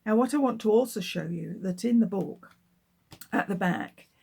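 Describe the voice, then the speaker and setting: Husky-voiced woman reading a children's story. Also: medium pitch, moderate pace. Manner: lively, expressive storytelling. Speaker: children's author. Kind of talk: reading her book aloud.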